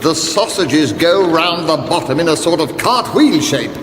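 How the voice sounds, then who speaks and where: A doddering, apologetic old wizard from a radio fantasy spoof. The high voice swoops through rambling, distracted instructions, ringing with long reverb over music. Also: male voice, animated, a little slow, background noise. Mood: fussy, apologetic. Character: doddering, apologetic old male wizard, speaking as recorded vision. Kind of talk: comic fantasy radio drama.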